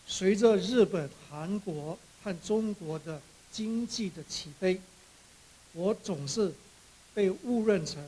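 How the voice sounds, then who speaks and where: A high-voiced man delivers a prepared speech. His voice is husky and breaks the text into short, measured phrases, with some hesitation. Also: rough recording. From Borneo, Malaysia. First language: Chinese. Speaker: author giving an acceptance speech.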